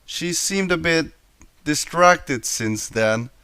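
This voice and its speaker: A man voicing a tired, bookish young female unicorn in a storybook reading, explaining things gently and wearily. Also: on the high side, expressive, takes it slowly, smooth, no echo, pitched above the performer's own voice, full character voice. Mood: sad, concerned.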